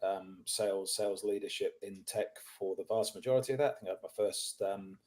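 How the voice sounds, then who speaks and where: A man's voice: lowish voice, brisk, a little mellow. A man in his fifties from England, with a mixed English and New Zealand accent, talking as a podcast guest about his career in tech sales, with many ums and restarts. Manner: self-deprecating, many ums and restarts, clears throat often. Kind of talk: casual interview talk.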